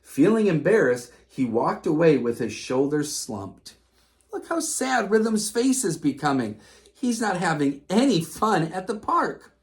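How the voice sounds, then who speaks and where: Female storyteller for a kids' read-aloud, very lively, swooping intonation. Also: on the low side, unhurried. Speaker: children's storybook reader. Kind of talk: animated read-aloud narration for children.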